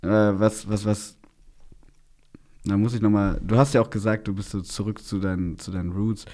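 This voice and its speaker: A young man chatting casually as a podcast host, rambling and halting, with lots of youth slang. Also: lowish voice, fairly even intonation, mellow.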